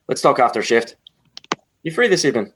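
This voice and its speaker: Man playing a friendly, gruff space station chief. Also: medium pitch, fairly bright tone, a little hiss or hum. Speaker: actor in a comedy radio show. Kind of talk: comedy sci-fi radio acting.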